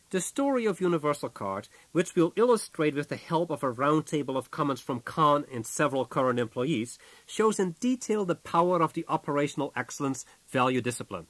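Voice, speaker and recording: A man's voice: a little high, a bit bright, no echo. Dry-sounding man narrating an audiobook. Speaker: author reading own audiobook.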